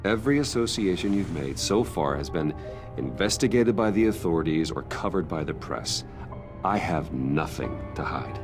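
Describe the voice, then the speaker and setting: A wealthy, secretive businessman in a video game drama. His voice is low and swings widely in pitch, with a terse, defensive manner, over music. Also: lively intonation, moderate pace. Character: guarded wealthy businessman with a secret hero life. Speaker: voice actor in a story video game. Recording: dialogue over clear background music.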